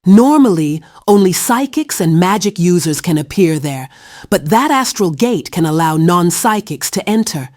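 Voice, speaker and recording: Woman playing a grave, low-voiced heroine. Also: expressive, moderate pace, darkish tone, no echo, clean studio sound, lightly acted. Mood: grave concern. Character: grave, cautious heroine versed in the occult. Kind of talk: fan-made game character voice clips.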